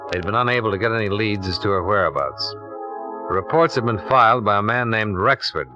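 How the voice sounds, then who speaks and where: Forceful low-voiced radio detective. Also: male voice, fairly quick. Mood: dry narration. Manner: clipped, matter-of-fact questioning. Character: cool, businesslike male insurance investigator narrating his case. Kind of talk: 1950s radio detective drama acting. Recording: muffled old radio recording, music.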